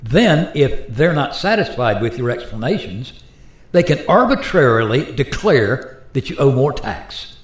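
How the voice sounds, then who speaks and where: A man's voice: mid-range voice, very animated, measured pace. A man playing a resigned, plain-spoken modern taxpayer in a radio play, explaining things in a wry, conversational way. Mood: matter-of-fact warning. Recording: roomy echo.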